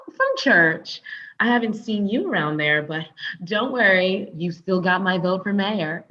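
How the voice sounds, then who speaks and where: A woman's voice: medium pitch, expressive, a little slow, natural voice. A woman playing a very friendly, flirty churchgoing neighbour of sixty in a comedy table read, on slightly dull audio. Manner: gushing, neighbourly.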